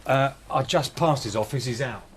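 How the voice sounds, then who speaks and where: An actor playing a dutiful but needling detective sergeant in a radio drama. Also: male voice, medium pitch, moderate pace, close to an everyday voice. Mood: dry. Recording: radio drama with clear background noise.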